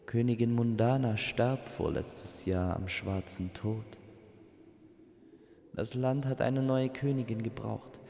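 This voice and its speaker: A man's voice: on the low side, vocal fry, mellow, long reverb tail, voice pushed up. A man voicing a serene, delighted female mythical matchmaker in a fantasy audio story, with soothing, formal speech.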